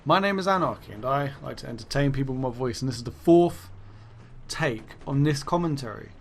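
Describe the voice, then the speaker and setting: A man's voice: mid-range voice, animated, a little fast, vocal fry, slight background noise. A man chatting casually as a gaming YouTuber, rambling and self-correcting, his voice worn and fading. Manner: rambling, self-correcting, swears then laughs it off. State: losing his voice. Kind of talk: casual commentary monologue.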